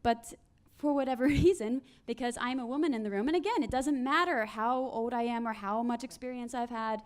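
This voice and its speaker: A woman's voice: on the high side, brisk. A woman speaking on a conference panel, telling work stories and acting out the people in them. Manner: animated storyteller acting out quoted people.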